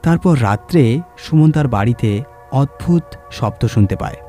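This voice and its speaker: Mellow male horror story narrator. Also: medium pitch, expressive, moderate pace, faint music behind. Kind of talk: dramatic scripted horror narration.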